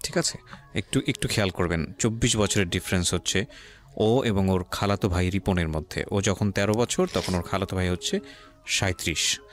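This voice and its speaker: A man's voice: low voice, moderate pace. A man with a Bangladeshi accent hosting a radio show, questioning and advising listeners, mixing in English phrases. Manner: mixes English phrases into speech. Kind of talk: interviewing and commenting to listeners.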